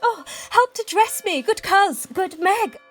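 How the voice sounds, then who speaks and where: An actress playing a sweet, excitable young noblewoman in a Shakespeare radio play, girlish in manner. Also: extremely high pitch, slow pace, loud music behind the voice, heavily acted. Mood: excited, fretful.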